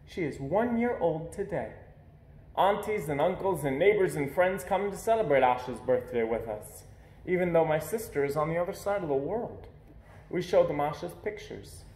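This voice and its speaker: A man reading a children's story aloud in an expressive narrating style. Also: a little high, normal speed. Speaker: storybook reader. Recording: echoey room.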